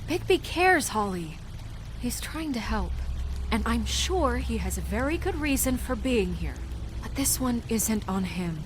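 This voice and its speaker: A woman playing a composed, compassionate leader in a video game, sincere and measured with a halting edge. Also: a little high, sing-song, noisy background. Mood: pleading, firm. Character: composed, compassionate female leader, solemn yet firm. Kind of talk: dramatic video game acting.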